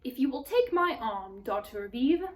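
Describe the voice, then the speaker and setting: A woman's voice: fairly high pitch, sing-song, moderate pace. A woman voicing a friendly, easily flustered male faun from a storybook, speaking politely and formally with hesitant repetitions. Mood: courteous, inviting. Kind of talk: storybook character read aloud.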